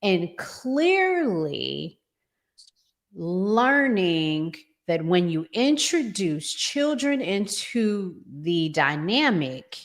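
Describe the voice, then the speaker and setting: Female podcast co-host, lower register, unhurried delivery, deliberate and emphatic in discussion. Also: very animated. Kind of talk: conversational relationship podcast discussion.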